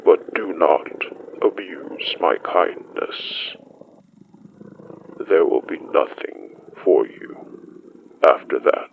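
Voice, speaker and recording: An actor playing an ancient, solemn and menacing spirit beast with a breathy, growling voice and slow, archaic phrasing in an audio drama. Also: sits low, expressive, narrow, tinny audio, a little hiss or hum, heavily acted.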